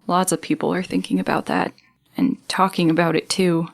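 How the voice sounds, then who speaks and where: An actress plays a young female student stranded in space, worried and worn down. Her voice sits fairly low and moves hesitantly through private thoughts, as audio drama music plays clearly behind her. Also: level tone, moderate pace. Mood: worried, downcast. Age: young adult. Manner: hesitant, sighing, introspective. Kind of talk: reflective monologue, scripted audio drama.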